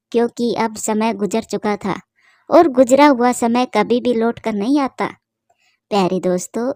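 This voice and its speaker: A woman's voice: fairly high pitch, a little mellow, dry recording, studio quality. A woman storyteller reading scripted narration for a cartoon tale.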